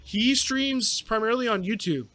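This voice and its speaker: A man's voice: very high voice, animated, moderate pace, sharp tone, a little hiss or hum. A man chatting casually as a podcast guest, speaking in an opinionated, emphatic way. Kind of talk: casual chat.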